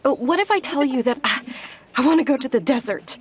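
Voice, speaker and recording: High-pitched earnest young woman. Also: lively intonation, fairly quick, a little hiss or hum. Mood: eager. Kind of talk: video game dialogue.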